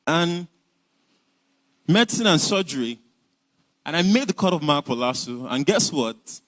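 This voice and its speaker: A man's voice: a little high, expressive, a little slow, a bit bright. A young Nigerian man with a Nigerian accent, telling his life story in an earnest, reflective way. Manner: earnest, reflective, with light laughs. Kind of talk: personal storytelling talk.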